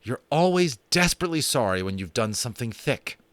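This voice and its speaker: A man voicing an exasperated young boy with a sharp, scolding way of talking. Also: mid-range voice, very animated, a little fast, no echo, pitched above the performer's own voice. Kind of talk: audiobook character voice.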